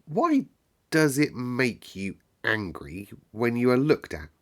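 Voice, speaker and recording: Man voicing a frank, curious young girl, higher-pitched. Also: big pitch swings, takes it slowly. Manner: direct, inquisitive. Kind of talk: storybook character reading.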